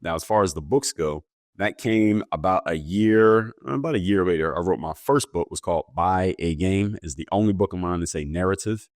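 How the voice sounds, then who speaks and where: A man with a very deep voice answers interview questions as a guest coach. He explains things in a steady, matter-of-fact way. The sound is dry and close. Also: normal speed, no echo.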